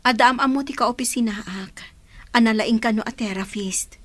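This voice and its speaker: A woman's voice: fairly high pitch, moderate pace, fairly bright tone. A woman playing an earnest, hopeful daughter in a radio drama.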